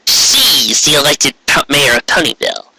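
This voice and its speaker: Man voicing a brave, anxious young unicorn mare, higher-pitched. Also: big pitch swings, bright, forceful tone, breathy edge, dry recording, heavily acted, low-quality recording. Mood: nervous defiance. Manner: earnest, pleading, halting. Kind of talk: fan fiction audiobook character voice.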